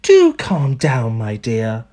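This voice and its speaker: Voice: high-pitched, lively intonation, unhurried, darkish tone, pitched above the performer's own voice. A narrator voicing a firm, chiding mother in a storybook.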